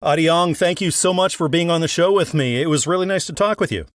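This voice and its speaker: A man's voice: a little high, fairly quick, no echo, clean studio sound. A man hosting an interview show, moving between scripted introductions and questions to guests. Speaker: podcast host and interviewer.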